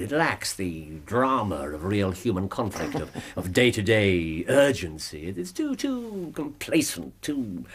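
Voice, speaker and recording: An actor playing a restless, incisive English detective in a radio drama, speaking in a precise, dry, clipped way. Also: male voice, lowish voice, a bit bright, breathy edge, full character voice. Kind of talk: British radio drama acting.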